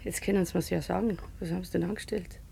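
A streetwise woman with a very creaky, dark voice. She chats in a confiding, matter-of-fact radio drama manner over a low rumble. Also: steady tone, quick pace. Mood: confiding, nosy. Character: streetwise, chatty woman, worldly and down on her luck. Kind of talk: naturalistic radio drama acting.

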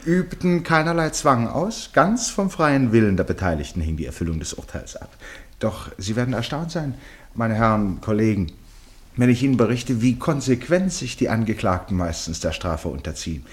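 A man's voice: mid-range voice, big pitch swings, moderate pace, close to an everyday voice. An older man acting in a German radio play as an eccentric, genial club chairman and former judge, speaking formally and grandly. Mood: proud oratory. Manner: grandiloquent, precise, self-amused.

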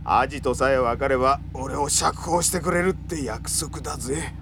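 A man's voice: mid-range voice, moderate pace, vocal fry, noisy background, natural voice. A man playing a surly small-time crook and informant in a Japanese audio drama, speaking in grudging slang. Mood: sullen, grudging. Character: surly, sullen male crook turned informant.

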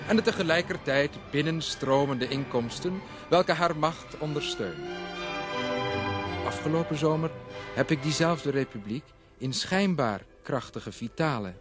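Casting: grave historical observer, slightly high voice, measured, formal, music beneath. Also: male voice. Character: grave observer of a republic's ruin. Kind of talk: formal reading of a historical eyewitness account.

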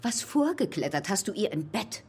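A woman in a radio play acting the sharp-tongued, jealous wife of a film star, sarcastic and pressing. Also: medium pitch, sing-song, moderate pace. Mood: mocking, jealous.

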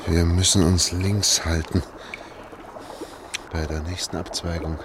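A man's voice: deep voice, level tone, measured pace, background noise. An actor playing a tense engineer and family man guiding a runaway in a German radio drama, urgent and pleading. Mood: impatient.

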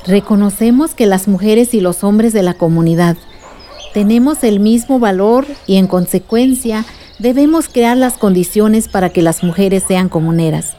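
A woman's voice: mid-range voice, moderate pace, dark tone, natural voice. An actress playing an adult village woman, a patient, earnest activist recounting memories in a radio drama. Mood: moved, proud. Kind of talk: radio drama dialogue and narration.

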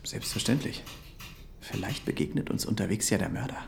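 A man's voice: on the low side, animated, a little fast, gravelly, slight background noise. An actor playing an unassuming, dryly knowing man in a radio drama dialogue, terse and understated.

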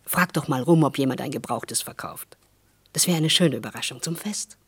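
An actress playing a resolute, caring wife and protective neighbour in a German radio play, firm and matter-of-fact. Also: female voice, a bass voice, moderate pace, breathy edge, heavily acted. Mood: earnest, caring.

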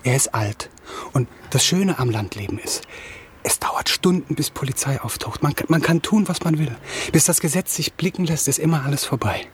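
A man's voice: a little high, slight background noise, lightly acted. Man acting a proud, mocking young writer. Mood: taunting, bitter. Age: young adult. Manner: cutting, halting with repeated words in agitation. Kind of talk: radio drama acting.

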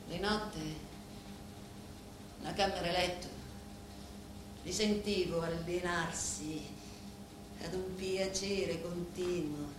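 Bitter Sicilian wife, echoing stage. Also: female voice, lowish voice, fairly even intonation. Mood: brooding, sensual confession. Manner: scornful, deliberate, theatrical. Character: bitter, taunting, sensual wife of a neighbourhood mob boss. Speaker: stage actress. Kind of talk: theatrical dramatic monologue. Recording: very echoey stage hall, faint noise.

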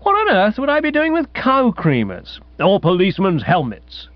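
Man playing a high-pitched, excitable young English gent. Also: measured pace, dry recording, dull audio, soft background music, full character voice. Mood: breezy indignation. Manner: chirpy, indignant, old-fashioned slangy. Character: excitable, breezy young upper-class English gentleman. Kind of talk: comic audiobook character acting.

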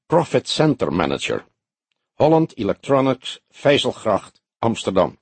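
A man voicing a wry old police detective in an audiobook, deliberate, needling and mock-innocent. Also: medium pitch, very animated, breathy edge, no echo, full character voice. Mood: sardonic. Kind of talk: Dutch audiobook character dialogue.

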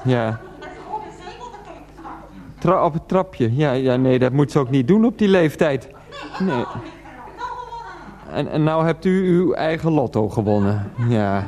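Exasperated pleading son, halting, repetitive, very animated pitch, radio drama. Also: male voice, a faint crowd. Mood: incredulous, pleading. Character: flustered, pleading son on the phone to his mother. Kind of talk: radio play acting.

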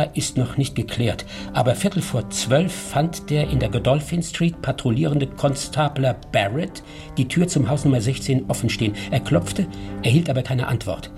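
An actor playing a shrewd, composed private detective in a German radio drama, speaking in a measured, formal way. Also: male voice, medium pitch, a little mellow, lightly acted.

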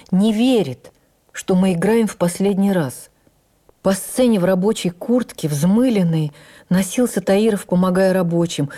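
A woman playing an actress who recalls her life in the theatre, narrating in the first person for radio drama in an expressive, measured, literary way. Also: lowish voice, close to an everyday voice. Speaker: actress reading a stage memoir. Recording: radio broadcast with faint music.

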